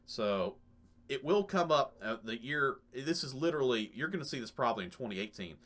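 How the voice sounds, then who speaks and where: A man's voice: mid-range voice, sing-song, fairly quick, fairly bright tone. A middle-aged man giving casual, rambling let's play commentary in a conversational way. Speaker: gaming host. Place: small cluttered indoor room.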